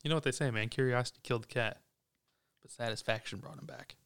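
Gravelly-voiced joking man. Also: medium pitch, fast, fairly bright tone. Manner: joking, boastful, swears freely. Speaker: podcast co-host. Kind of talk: casual podcast chat. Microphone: headphones on, podcast mic.

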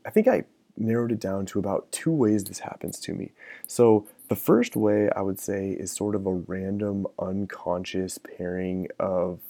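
Low-pitched man, gravelly, mellow tone, reflective podcast monologue, dry close sound. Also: no echo. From American Midwest. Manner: thoughtful, musing, frequent fillers and restarts. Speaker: podcast host.

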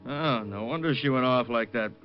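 An actor playing a reassuring, matter-of-fact doctor in a 1950s radio drama. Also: male voice, medium pitch, moderate pace, faint music behind, close to an everyday voice. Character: brisk, reassuring male doctor. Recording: muffled vintage radio recording.

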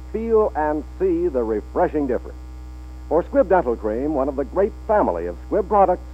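Male announcer, 1940s radio ad read, polished, emphatic, lively pitch swings, narrow-band old broadcast.

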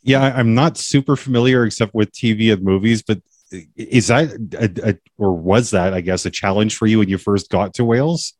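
A man's voice: fairly low pitch, fairly quick, darkish tone, dry recording, studio quality. A man hosting an interview podcast, asking curious, rambling questions with frequent restarts. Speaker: podcast host. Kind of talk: casual interview chat.

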